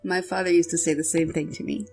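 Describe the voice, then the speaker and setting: A woman's voice: lowish voice, flat delivery, fast, a little mellow, slightly rough, soft background music. A woman voicing a shy, helpful, boyish young prince in an audio drama. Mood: earnest.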